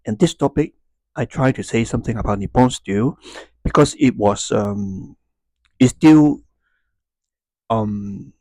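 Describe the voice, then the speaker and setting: A man in his fifties from Hong Kong, a native Cantonese speaker with a Hong Kong Cantonese accent, talks casually to viewers, haltingly searching for words. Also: fairly low pitch, darkish tone, no room sound. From Hong Kong and Macau. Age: 50s. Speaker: YouTuber. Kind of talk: casual talk to viewers, unscripted.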